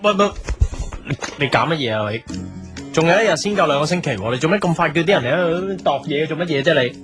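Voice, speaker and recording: Actor as animated, exasperated young Hong Kong cafe owner. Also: male voice, on the high side, quick pace. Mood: flustered, protesting. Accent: Hong Kong Cantonese. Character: hapless, defensive young cafe owner, prone to brooding. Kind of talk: audio drama with introspective narration and dialogue. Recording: music behind the voice.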